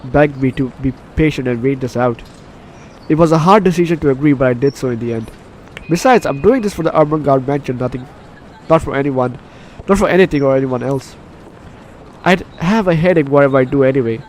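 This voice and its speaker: A man's voice: mid-range voice, fairly quick, a little mellow, slight background noise, rough recording. A man hosting a casual let's play, reading game text aloud with commentary and often stumbling over and repeating phrases. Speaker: gaming video host.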